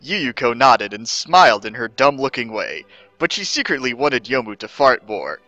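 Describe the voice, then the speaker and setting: Bright-voiced man reading a story dramatically. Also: medium pitch, sing-song, normal speed, no room sound, soft background music, rough recording. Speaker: narrator of a fan fiction reading. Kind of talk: mock-serious dramatic reading of comic fan fiction.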